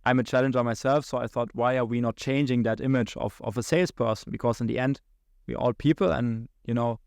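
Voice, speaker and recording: Young German-accented man, podcast guest; gravelly, monotone, halting and filler-heavy. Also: mid-range voice, dry recording, studio quality. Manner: halting, many restarts and fillers, laughs between thoughts. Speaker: guest, account executive. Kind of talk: podcast interview.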